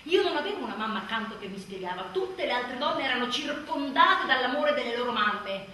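Echoey, animated overwhelmed new mother. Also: fairly high pitch, brisk. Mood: bitter, wounded. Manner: expressive, emphatic, with abrupt swings in volume. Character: overwhelmed, inadequate new mother, wry and exasperated. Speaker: stage actress performing a monologue. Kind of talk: theatrical comic-dramatic monologue. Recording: echoey room, distant mic, faint noise.

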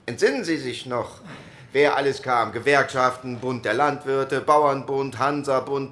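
A wry older delegate. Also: male voice, mid-range voice, fairly bright tone, a little hiss or hum. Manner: measured and wry, lists items with dry irony.

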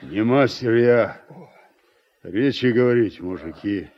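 An actor playing a modest, kindly senior combine team leader with halting, unpolished speech in a Soviet radio drama. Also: male voice, fairly low pitch. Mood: bashful. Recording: dull old radio recording.

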